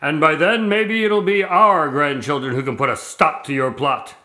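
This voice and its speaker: An actor playing an earnest, mildly skeptical doctor and sidekick in an audio drama, plain and gently questioning. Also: male voice, fairly high pitch, moderate pace, no room sound, clean studio sound. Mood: wry, earnest.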